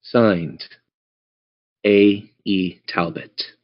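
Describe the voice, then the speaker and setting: A man's voice: on the low side, fairly even intonation, very slow. A man narrating a tabletop game as game master in a casual, improvised way. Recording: dull lo-fi band-limited audio.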